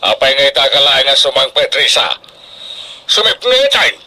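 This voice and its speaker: A man's voice: mid-range voice, very animated, moderate pace, bright, forceful tone, full character voice. An actor with a northern Philippine accent playing a worried, hardworking middle-aged father, strict but devoted, in a radio drama. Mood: worried, scolding.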